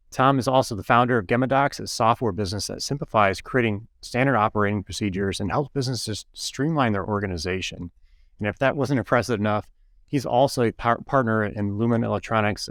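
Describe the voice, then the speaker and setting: A man's voice: fairly low pitch, fast, vocal fry, a bit bright, no room sound, studio quality. A man hosting a podcast in an enthusiastic, upbeat way, both chatting and reading promos. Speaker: podcast host.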